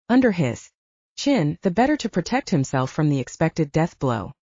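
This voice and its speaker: Woman narrating fiction with lively, swooping intonation. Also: lowish voice, no echo, dull audio. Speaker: audiobook narrator. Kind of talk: scripted first-person fiction narration.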